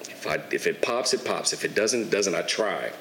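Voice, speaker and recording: A young man in his twenties, guesting on a podcast, tells his story in a relaxed, candid way and swears casually. His voice sits fairly low. The room is echoey, with a faint hiss underneath. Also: normal speed. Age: 20s. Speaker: guest, barber and clothing entrepreneur.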